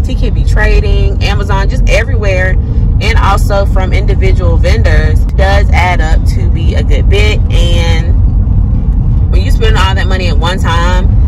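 A young American woman talks casually and emphatically from inside a car. Her voice sits on the low side for a vlogger's chat, and loud road noise runs behind her. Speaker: vlogger and small business owner.

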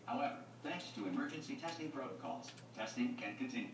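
A man voicing a calm, pre-recorded facility announcer in a video game, measured and formal. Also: medium pitch, noisy background, close to an everyday voice. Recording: game audio from TV speaker, roomy and distant.

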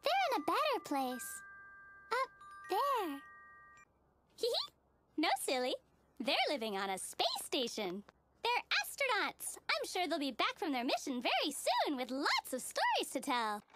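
Squeaky, sky-high cheerful cartoon little girl. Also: huge pitch swings, measured pace, heavy background noise, heavily acted. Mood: wistful, upbeat. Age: child character. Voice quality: squeaky, girlish. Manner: gushing, innocent, sing-song. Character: relentlessly cheerful, naive, sweet little girl. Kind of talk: animated TV cartoon acting. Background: playful children's music.

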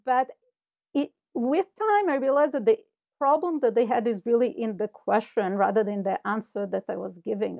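A woman's voice: a little high, creaky, darkish tone, no room sound, studio quality. A middle-aged woman, a Hebrew speaker, explaining her research thoughtfully over a video call with earbud headphones. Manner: thoughtful, explanatory, many fillers. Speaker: cognitive scientist guest. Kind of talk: interview conversation. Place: home office with bookshelves. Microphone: earbud headset over video call.

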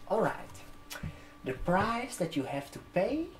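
A man in his twenties doing an ASMR role-play as a barber shop receptionist, talking to a customer in a halting, repetitive way. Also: high-pitched, big pitch swings, background noise. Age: 20s. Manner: slow, halting, repeating words while typing. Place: room with sofas, indoors. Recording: roomy echo, keyboard typing. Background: computer keyboard typing.

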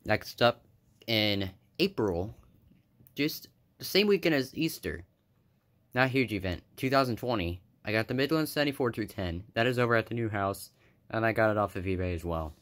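A man's voice: fairly low pitch, expressive, moderate pace, fairly bright tone. A young man narrating a casual show-and-tell of his collection indoors, offhand, with fillers and self-corrections, recorded on a handheld phone. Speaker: hobbyist vlogger. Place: indoors, room with carpeted floor.

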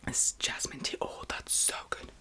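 Whispering young woman doing ASMR. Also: moderate pace. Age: about 20. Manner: whispers almost throughout; excited, giggly, repeats words softly. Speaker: ASMR video creator and host. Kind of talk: ASMR whispering, casual mukbang chat.

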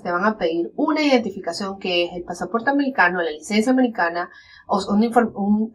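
Woman, 30s, chatty tutorial host, explains on a desk mic at home. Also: medium pitch. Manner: chatty, explains step by step with many fillers. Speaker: online business educator. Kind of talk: screen-share tutorial. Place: home room, webcam corner inset.

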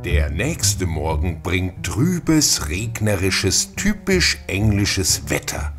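A male radio-drama narrator tells the story atmospherically. His voice is deep and very measured, with faint music behind it. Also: very animated.

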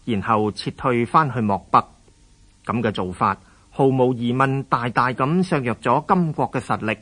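Hong Kong man narrating history, medium pitch. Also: fairly bright tone. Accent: Hong Kong Cantonese. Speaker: radio history programme narrator.

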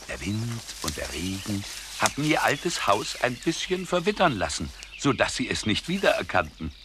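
A man's voice: lowish voice, very animated, normal speed, background noise. A man narrating a scripted children's story as the storyteller.

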